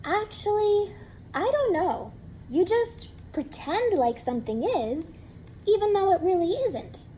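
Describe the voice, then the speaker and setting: A woman voicing an eager, imaginative young girl in a storybook, with bright, excited delivery. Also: very high-pitched, measured pace, slight background noise, pitched above the performer's own voice, full character voice.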